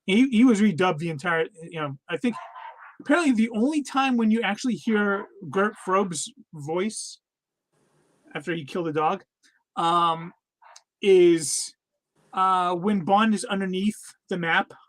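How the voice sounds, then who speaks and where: High-voiced man sharing film trivia, dry sound. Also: a little slow, dry recording. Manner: laid-back, sharing trivia with hesitant fillers. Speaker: podcast co-host. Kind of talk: casual film review chat.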